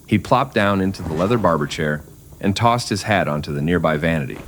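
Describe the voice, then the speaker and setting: A man's voice: low voice, animated, fairly quick, a little hiss or hum. A man narrating a scripted Western story in the manner of old-time radio drama.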